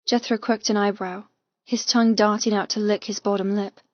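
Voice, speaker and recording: A woman reading a first-person romance audiobook with intense, expressive, dramatic delivery. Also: mid-range voice, normal speed, no echo, lo-fi sound. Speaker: audiobook narrator.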